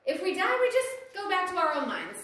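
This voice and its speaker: A woman's voice: very high-pitched, huge pitch swings, a little fast, a bit bright. A woman performing in a live comedy sketch, explaining things to the others. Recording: very echoey room.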